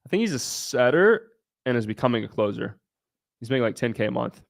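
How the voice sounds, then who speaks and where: A man's voice: medium pitch, sing-song, brisk, gravelly, dry recording. Young man, brash livestream host, blunt and foul-mouthed, dry close sound. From Southern Ohio. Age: young adult. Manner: brash, blunt, swears freely. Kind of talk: casual livestream chat answering viewers.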